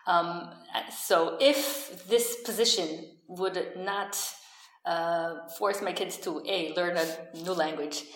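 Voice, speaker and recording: A woman in her thirties answering interview questions in a halting way, with many fillers and restarts. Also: lowish voice, fairly even intonation, roomy sound, low-quality recording. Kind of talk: casual conversation.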